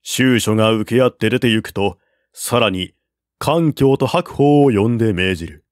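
A man reading a classical historical novel aloud as narrator, in a steady, deliberate manner on a close mic. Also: on the low side, no echo.

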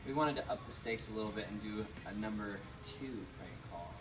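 A man's voice: fairly low pitch, a little fast, distant mic, over music. A young adult man talking casually to a camera with friends. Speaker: boy band member. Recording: noisy room, away from mic.

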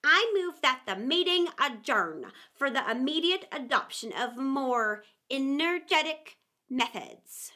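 A woman's voice: very high-pitched, very animated, slow, hardly any pauses, bright, forceful tone, voice pushed up, exaggerated voice. A woman voicing a solemn, long-winded, easily offended dodo in a storybook, with pompous and ponderous delivery.

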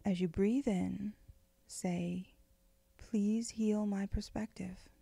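A woman leading a guided meditation in a gentle, unhurried, soothing way, with phrases often trailing off into breath. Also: medium pitch, steady tone, vocal fry, slightly rough, dry recording. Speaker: meditation guide, spiritual director.

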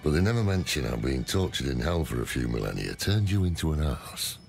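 An actor playing a weary old scholar in a video game, grave and wry, with a gravelly, measured delivery. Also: male voice, a bass voice, very animated, faint music behind, heavily acted. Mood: bitterly wry. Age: older. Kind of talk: dramatic video game dialogue and narration.

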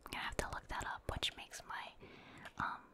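A woman whispers casual ASMR commentary over a video game, rambling and thinking aloud in a breathy, unvoiced voice. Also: a little fast. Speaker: gaming ASMR video host.

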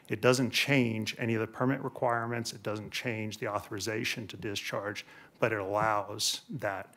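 Gravelly-voiced engineer at a public forum. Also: male voice, fairly low pitch, pushed and bright. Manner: firm, technical, corrects questioners. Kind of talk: public meeting Q&A. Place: large courtroom with audience.

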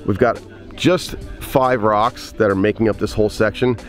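A man's voice: fairly low pitch, fairly even intonation, moderate pace, soft background music. A man in his forties hosts a walkthrough of an outdoor build, explaining each step in an upbeat way to a camera held close. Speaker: pond builder and channel host. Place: outdoors at a backyard construction site. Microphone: handheld camera held close.

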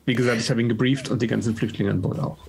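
A man's voice: mid-range voice, fairly even intonation, racing, creaky, a little mellow, breathy edge. A man, chatting casually and flippantly as he describes his character's actions in a role-playing game. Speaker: tabletop role-playing player. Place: indoors at home, on a video call.

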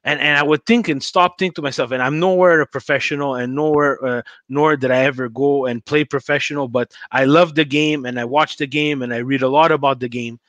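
A man's voice: mid-range voice, fairly even intonation, brisk, hardly any pauses, a bit bright, no room sound, dull audio. A man in his forties hosting a podcast on a headset, talking in long rambling runs with frequent stutters. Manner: rambling, emphatic, many stutters. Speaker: podcast host. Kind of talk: casual video-call interview. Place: home room indoors.

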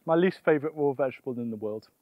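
A man's voice: mid-range voice, very fast, no echo, lo-fi sound. A young man presenting a casual gardening vlog outdoors, explaining things and often thinking aloud. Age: young adult.

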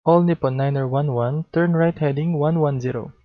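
A man's voice: on the high side, moderate pace, dry recording. A Filipino-accented man in his thirties, teaching air traffic control in an instructional way, on a headset mic. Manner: instructional, mixes Tagalog into English. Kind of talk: demonstration lesson with radio instructions. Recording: dull lo-fi close recording.

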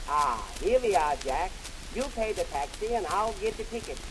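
Crackly 1920s 78 comedy record, guy doing a high-pitched grumbling wisecracker with yiddish accent, brash and cheap. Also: animated, normal speed. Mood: grumbling, wisecracking. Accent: Yiddish-inflected English. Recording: hissy, noisy 1920s 78 rpm record.

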